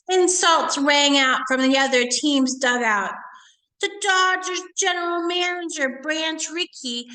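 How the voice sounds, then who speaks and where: Lady teacher doing a read aloud for kids, bright crisp-edged voice, takes her time, asks questions as she goes. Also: high-pitched, rough recording. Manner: didactic, pauses to question and explain to listeners. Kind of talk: read-aloud lesson for children with asides and questions.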